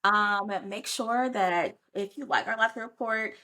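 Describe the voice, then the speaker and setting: A young woman with a bright, forward voice at an ordinary pitch, co-hosting a casual podcast. She sounds upbeat and chatty, often leaning on "you know.". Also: measured pace. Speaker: podcast co-host. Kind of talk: casual chat.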